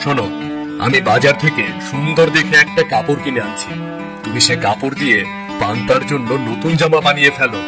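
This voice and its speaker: A man voicing a gentle, doting village father in a cartoon, soothing and affectionate in manner. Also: medium pitch, brisk, lightly acted. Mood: reassuring. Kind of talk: cartoon voice acting. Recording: music under the voice.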